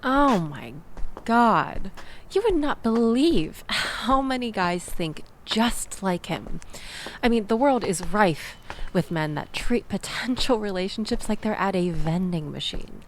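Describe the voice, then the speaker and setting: Woman acting a slow-talking, chatty young woman. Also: mid-range voice, expressive, slight background noise, rough recording. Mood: exasperated, venting. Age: young adult. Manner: chatty, sardonic, conversational with nervous laughs. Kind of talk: intimate audio roleplay acting, addressed to listener.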